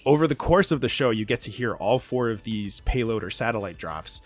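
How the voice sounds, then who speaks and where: A man's voice: medium pitch, animated, fairly quick, soft background music. A man chatting as an interview guest, explaining his creative work in an enthusiastic, casual way. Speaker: podcast guest, audio fiction writer.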